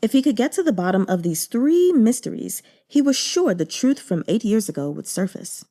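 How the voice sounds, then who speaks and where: Female audiobook narrator, mid pitch, mellow, measured delivery. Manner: measured, deliberate storytelling. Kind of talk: scripted narration of a novel translation.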